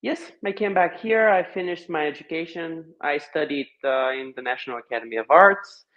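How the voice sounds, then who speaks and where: Higher-pitched Bulgarian man, slightly muffled recording. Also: a little fast. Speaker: podcast guest. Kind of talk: casual conversation.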